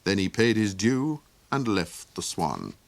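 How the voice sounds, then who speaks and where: A man's voice: on the low side, wildly swooping pitch, unhurried, gravelly, dry recording. A man narrating a fantasy story aloud in a playful, theatrical way. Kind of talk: audiobook storytelling.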